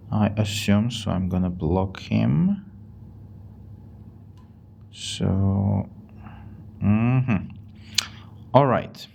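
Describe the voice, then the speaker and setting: A man with an Armenian accent teaching English on a live stream. He talks in a casual way, reads the chat aloud, and repeats and rephrases words slowly for learners. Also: lowish voice, vocal fry, darkish tone, slight background noise.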